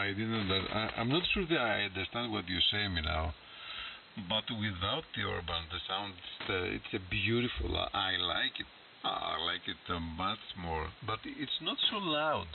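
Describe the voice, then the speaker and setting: Hissy shortwave radio, slow Greek-accented man. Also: on the low side, creaky, recorded from a distance, phone-quality sound. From Greece. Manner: halting, searching for words in non-native English. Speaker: amateur radio operator. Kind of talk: casual ham radio conversation.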